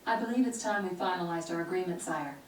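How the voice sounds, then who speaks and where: An actress playing a composed, measured female sorceress in a game cutscene. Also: on the low side, lightly acted. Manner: measured, matter-of-fact. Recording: echoey distant game audio with noise.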